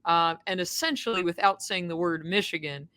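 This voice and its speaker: A woman co-hosting a college football podcast, telling game stories in a booming, lively way from a stadium press box. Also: normal speed, dry recording. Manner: lively storytelling, self-described booming voice. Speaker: podcast co-host, sportswriter. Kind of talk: casual sports podcast chat.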